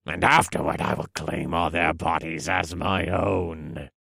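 A man voicing a towering, cruel elf general in a fantasy audiobook, with a raspy, menacing snarl and commanding, boastful delivery. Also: extremely low pitch, fairly even intonation, measured pace, a bit bright, dry recording, clean studio sound, exaggerated voice. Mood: cruel threat. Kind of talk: acted audiobook character dialogue.

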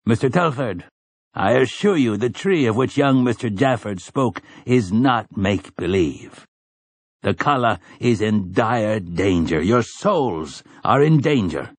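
A man's voice: on the low side, expressive, unhurried, dry recording. A man voicing a stern old priest in an audiobook, commanding and oratorical, with rustic, archaic turns of phrase. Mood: stern, urgent. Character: stern, weathered old priest rallying frightened villagers. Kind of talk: audiobook character dialogue.

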